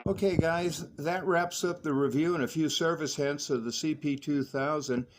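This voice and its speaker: An older man reviewing a vintage CB radio in a relaxed, knowledgeable, folksy manner, also chatting on air as a radio operator. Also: fairly high pitch, normal speed, noisy background. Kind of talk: technical review narration and on-air radio chat. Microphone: stock desk microphone on the radio.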